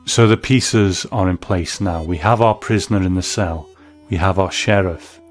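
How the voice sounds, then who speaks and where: Low-voiced man giving reflective commentary. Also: normal speed. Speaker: host. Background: faint music.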